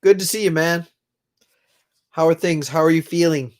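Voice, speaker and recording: A man's voice: on the high side, moderate pace. A middle-aged man who is congested, rambling casually on a live stream from his own room and greeting viewers by name. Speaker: livestream host.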